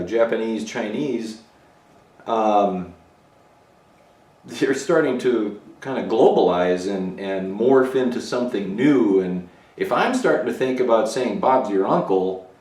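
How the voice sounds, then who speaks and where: Low-voiced man musing in a roomy garage. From Minnesota, USA. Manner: relaxed, musing, thinks aloud with fillers. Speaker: motorcycle builder and channel host. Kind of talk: casual workshop vlog. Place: home garage workshop. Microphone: camera mic, moving around the room. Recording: roomy garage, sometimes far from mic.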